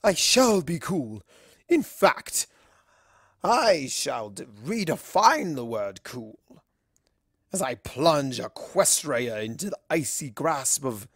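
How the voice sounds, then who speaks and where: A woman voicing an imperious evil princess mare and stern mother in a fan fiction reading, pompous, slow and grandiose. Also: rumbling low, very animated, breathy edge, no echo, studio quality, full character voice.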